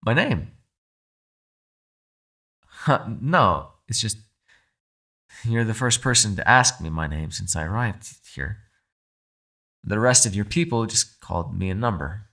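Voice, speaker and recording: A captive male yokai played by a man speaks straight to the listener in an ASMR roleplay. His voice is fairly low yet bright and forceful. He is proud, gentlemanly and protective, making his demands in articulate, courteous phrases. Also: expressive, measured pace, creaky, long pauses, heavily acted. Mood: earnest, softer. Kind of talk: ASMR audio roleplay, dramatic character acting.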